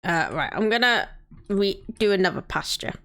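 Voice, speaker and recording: Woman in her 30s streaming, chatty, thinks out loud, says hi to chat. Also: mid-range voice, lively intonation, moderate pace. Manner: chatty, thinks aloud, greets viewers by name. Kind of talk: casual live-stream chat while playing a city-building game.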